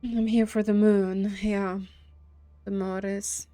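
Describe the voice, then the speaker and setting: A woman's voice: mid-range voice, flat delivery, slow, mellow. A woman streamer giving casual gameplay reactions, musing aloud and often trailing off mid-thought. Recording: faint game music behind.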